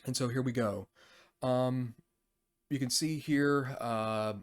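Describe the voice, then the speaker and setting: A man's voice: mid-range voice, steady tone, slow. A man hosting a casual, unscripted overview, talking in a rambling, conversational way as he thinks aloud. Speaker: tabletop RPG review channel host.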